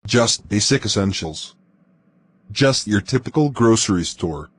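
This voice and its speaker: A synthetic male voice playing a middle-aged male shopkeeper on a quiz show, husky and flat. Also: on the low side, unhurried. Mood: plain. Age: 40s. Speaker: quiz contestant.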